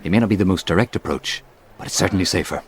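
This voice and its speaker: Actor as an anxious, low-voiced assassin. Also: male voice, rapid-fire, lightly acted. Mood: grateful, cautious. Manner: pleading, humble, formal. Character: anxious, self-doubting assassin begging a favour. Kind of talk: dramatic video game voice acting. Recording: background music under the voice.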